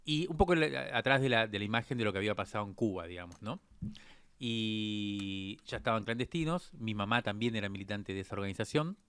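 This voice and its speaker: A man's voice: fairly low pitch, brisk, fairly bright tone, clean tone, no echo. A man in his fifties from Argentina, speaking with an Argentine accent as an interview guest, telling his life story thoughtfully with frequent hesitant fillers. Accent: Argentine Spanish. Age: 50s. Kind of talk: reflective personal storytelling.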